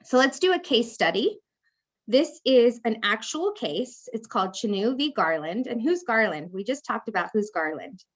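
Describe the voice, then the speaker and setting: A woman's voice: on the high side, big pitch swings, normal speed, highs cut off. A woman law professor giving a conversational classroom lecture, acting out example speakers as she explains. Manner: conversational, acts out hypothetical speakers.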